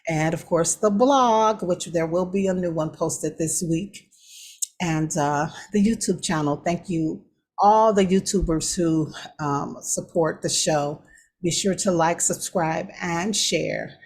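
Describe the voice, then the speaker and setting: A woman hosting a lifestyle talk show, speaking to listeners in an encouraging, conversational way with a husky voice. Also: lowish voice, slow pace, a little mellow. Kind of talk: motivational talk and sign-off to listeners.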